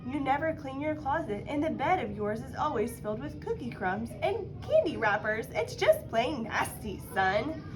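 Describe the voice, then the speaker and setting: A woman's voice: high voice, big pitch swings, normal speed, hardly any pauses, over music, pitched above the performer's own voice. A woman reading a storybook aloud as a teasing mother who scolds her messy son with emphatic disapproval. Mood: teasing, scolding.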